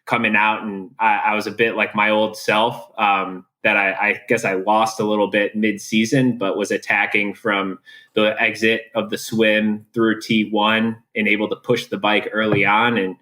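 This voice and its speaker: A young American man speaking as a guest in an interview, relaxed and candid, with many filler words. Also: fairly low pitch, normal speed. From USA. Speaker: guest, professional triathlete. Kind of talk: casual interview chat.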